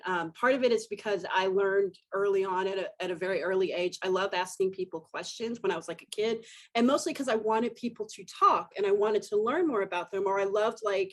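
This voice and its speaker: A woman, a guest on a podcast, chatting about herself in an enthusiastic, rambling way. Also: mid-range voice. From Texas. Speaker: podcast guest, communication professor. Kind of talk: casual podcast chat.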